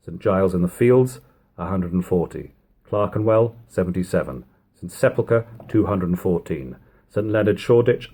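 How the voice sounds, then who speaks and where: A man reading an old literary text aloud as narration, in measured, emphatic phrasing. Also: low voice, darkish tone, slightly rough. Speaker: reader of a classic book. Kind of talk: audiobook-style literary narration.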